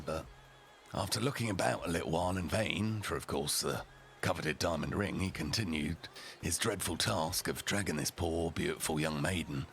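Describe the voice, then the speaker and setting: A man with a gravelly voice telling a story theatrically and a little haltingly, as a rough-and-ready character. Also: low voice, fairly bright tone. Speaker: storyteller, roleplay streamer in character.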